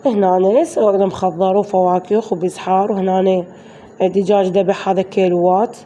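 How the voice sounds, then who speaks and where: Hoarse Iraqi woman, chatty voice-over. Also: medium pitch, level tone, a little fast, warm tone, dull audio, slight background noise. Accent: Iraqi Arabic. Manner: chatty, addresses viewers as girls. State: hoarse from flu. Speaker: vlogger. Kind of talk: daily-routine voice-over narration.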